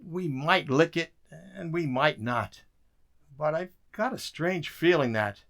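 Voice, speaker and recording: An actor playing a weary, dry-witted government codebreaker in an emphatic radio drama style. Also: male voice, on the high side, lively intonation, slow, creaky, a bit bright, full character voice. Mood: wry, reflective.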